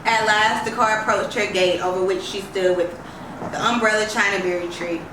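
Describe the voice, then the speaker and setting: A woman reading a literary story aloud as an expressive storyteller. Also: medium pitch, normal speed. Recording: roomy, distant, faint background noise.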